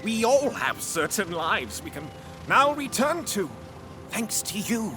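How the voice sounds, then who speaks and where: A man voicing a fussy, theatrical gentleman bug with a pompous, florid way of declaiming in an audio drama. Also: high-pitched, very animated, takes it slowly, faint music behind, full character voice. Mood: solemn, gentle. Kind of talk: audio drama cartoon acting.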